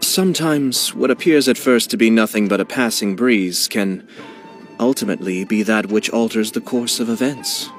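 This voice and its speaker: An actor voicing a genteel, scheming young male clan head in a video game, speaking in a smooth, measured and courteous way with quiet amusement. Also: lowish voice, faint music behind, natural voice. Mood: composed. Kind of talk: video game character voice lines.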